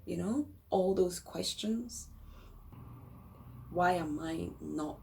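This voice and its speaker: Hoarse woman, low gravelly voice, unhurried soft delivery, faint background noise. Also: recorded from a distance. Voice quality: croaky, gravelly voice. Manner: slow, thoughtful, often drops to a soft whisper. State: hoarse after long studio hours. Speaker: vlogger sharing a personal story. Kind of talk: reflective personal talk to camera.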